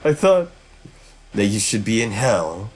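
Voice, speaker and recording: A man voicing a cowardly bishop in a panic, stammering and pleading for his life in a shrill voice. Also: very animated, measured pace, breathy edge, full character voice. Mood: disbelieving panic.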